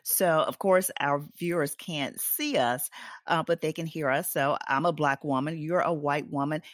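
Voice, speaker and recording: A woman's voice: low-pitched, normal speed, no room sound, studio quality. A woman hosting an interview, asking her guest open questions and giving short affirmations. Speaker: host. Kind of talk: conversational interview.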